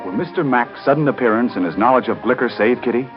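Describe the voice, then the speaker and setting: Loud music plays behind a 1930s radio announcer on a dull vintage recording. The man reads teaser narration dramatically, with wide swings in his voice. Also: medium pitch, expressive, fast talker. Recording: muffled old radio recording.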